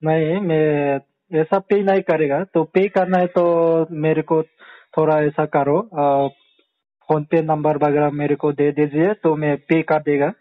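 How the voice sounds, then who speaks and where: Man on a phone call asking questions, kinda unsure, simple casual talk, slightly high voice, tinny phone audio. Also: level tone, takes it slowly. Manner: hesitant, questioning, plain-spoken. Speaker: call recipient questioning the agent. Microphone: phone line.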